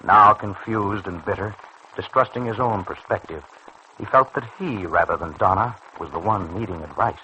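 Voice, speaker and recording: A man's voice: fairly low pitch, normal speed, bright, forceful tone. A man narrating a mid-century radio serial from a script, with formal, dramatic storytelling. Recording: faintly noisy old radio recording.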